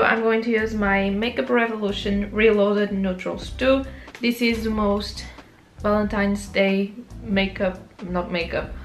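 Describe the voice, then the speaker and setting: Young woman with a Portuguese accent. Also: mid-range voice. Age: young adult. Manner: chatty, self-correcting, rambling asides. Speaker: beauty vlogger. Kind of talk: makeup tutorial talk. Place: small bedroom, indoors. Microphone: camera close up.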